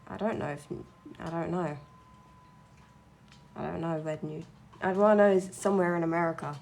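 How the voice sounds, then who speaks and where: A woman's voice: fairly low pitch, moderate pace, gravelly, darkish tone. A young woman streaming while she draws, chatting casually in a blunt, sweary, ranting way. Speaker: streamer and artist.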